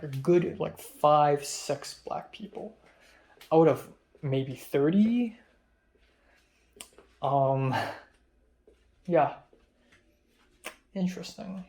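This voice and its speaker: A young man rambling through a late-night video diary about his college classes, hesitant and full of fillers and restarts. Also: on the high side. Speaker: college student vlogger.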